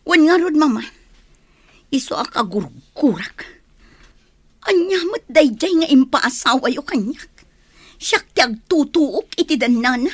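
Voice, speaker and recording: A woman's voice: high voice, lively intonation, measured pace, pauses often, no echo. A woman playing an aggrieved, defiant young wife in a radio drama, pleading and reproachful, with an Ilocano accent.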